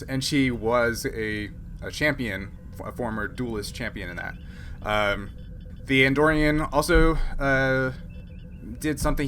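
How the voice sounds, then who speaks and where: Bright-voiced man running a tabletop game. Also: mid-range voice, slight background noise. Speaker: game master. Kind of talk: explaining and ruling in a tabletop game.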